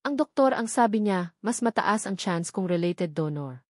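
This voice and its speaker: A woman's voice: medium pitch, animated, normal speed, a little mellow, no echo, studio quality, lightly acted. A woman with a Filipino accent voicing a desperate, pleading father in a dramatic story. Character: desperate, pleading billionaire father, broken with worry.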